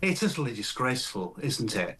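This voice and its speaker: A man from County Durham, giving measured, wry political commentary as a guest. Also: mid-range voice, big pitch swings, sharp tone, breathy edge. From County Durham, north-east England.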